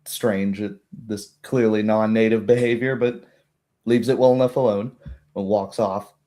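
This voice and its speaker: A man narrating an improvised tabletop game as game master, in a halting, hesitant way. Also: on the low side, steady tone, dark tone.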